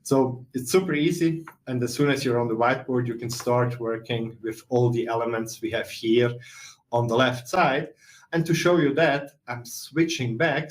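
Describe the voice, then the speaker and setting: A middle-aged man with a Swiss accent, presenting a software webinar in a steady explanatory way with some fillers. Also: medium pitch. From Switzerland. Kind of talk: webinar walkthrough.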